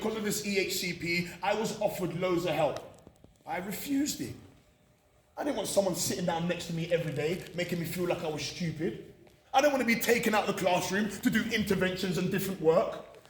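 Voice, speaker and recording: A British man giving a candid, emphatic motivational talk to students, repeating phrases for effect. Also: sits high. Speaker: motivational speaker at a college. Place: indoor room at a college. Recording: echoey room from far off, faint noise.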